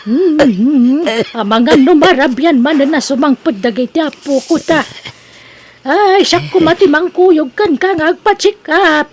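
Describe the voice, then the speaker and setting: A woman's voice: high-pitched, a little slow. A woman playing a stubborn old grandmother chatting in a radio drama, with an Ilocano accent. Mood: stubborn, chatty.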